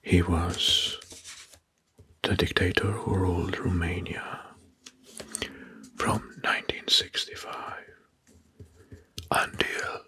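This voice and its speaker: Slow, whispering man doing ASMR narration. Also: low voice, level tone. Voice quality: breathy, hushed whisper. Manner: slow, deliberate, repeats words softly.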